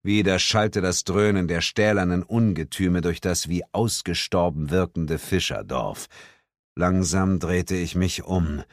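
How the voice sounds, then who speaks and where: Low, dry-voiced male audiobook narrator. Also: dry recording. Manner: measured, theatrical, drops low for dramatic lines. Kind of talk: first-person audiobook narration.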